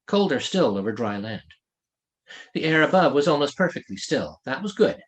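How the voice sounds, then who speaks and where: A man's voice: fairly high pitch, fairly bright tone. A man reading his own fantasy novel aloud as scripted audiobook narration.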